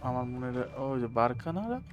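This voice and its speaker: A man's voice: medium pitch, big pitch swings, very fast, faint music behind. A man with a Bangladeshi accent casually commenting on a game he is playing, in muttered colloquial asides. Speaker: gamer streaming a playthrough.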